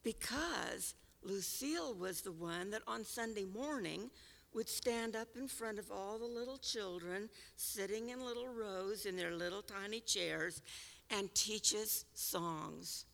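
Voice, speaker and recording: An older woman from California with a raspy voice, unhurriedly telling a personal story about her childhood church. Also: mid-range voice, fairly bright tone, long reverb tail. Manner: unhurried, reflective, with small restarts. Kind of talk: personal reminiscence.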